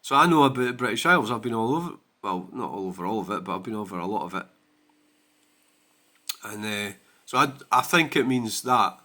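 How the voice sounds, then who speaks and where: A man's voice: lowish voice, expressive, brisk, sharp tone. A Scottish man hosting his own channel, talking in a blunt, sweary and rambling way in a casual rant. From Scotland. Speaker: channel host.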